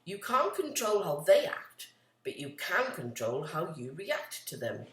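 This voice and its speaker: A woman's voice: lowish voice, big pitch swings, unhurried. A woman voicing a supportive young male porcupine, a loyal storybook best friend, earnest and encouraging. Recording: roomy, echoey, distant from the mic.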